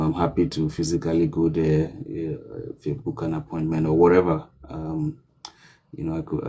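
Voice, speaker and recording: Low, mellow-voiced man hosting a livestream. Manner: casual, loose, with many fillers. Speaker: livestream host. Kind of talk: livestream panel talk.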